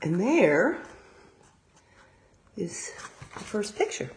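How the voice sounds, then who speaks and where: An American woman reading a storybook aloud as a teacher of young children, expressive and pausing to explain in simple asides. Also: medium pitch, slight background noise.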